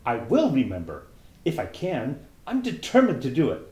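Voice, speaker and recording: A man's voice: medium pitch, wildly swooping pitch, normal speed, darkish tone, reverberant, pitched above the performer's own voice. A man reading aloud as a curious young girl who rambles and muses to herself, timid when asking questions. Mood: musing.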